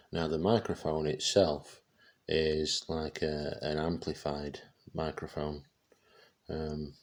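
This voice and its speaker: A man giving a casual, unscripted product demonstration, speaking haltingly as he searches for words. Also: extremely low pitch, a little mellow. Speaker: presenter showing vintage radio gear.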